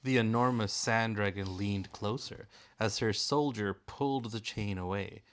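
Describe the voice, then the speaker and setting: A man narrating a storybook aloud in a deliberate, expressive, dramatic way. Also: lowish voice. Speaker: narrator, father reading aloud.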